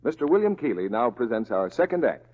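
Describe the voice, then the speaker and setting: A man delivers an old-time radio commercial with swooping, animated pitch. His voice is husky and the recording is muffled. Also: fairly quick. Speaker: radio announcer and soap pitchman. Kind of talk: 1940s radio commercial announcing. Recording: dull vintage radio recording.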